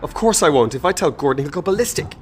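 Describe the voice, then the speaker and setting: A man's voice: fairly high pitch, lively intonation, fast, a little hiss or hum, close to an everyday voice. Man acting cold, clipped ruthless intelligence agent. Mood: tense, threatening. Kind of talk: radio drama acting.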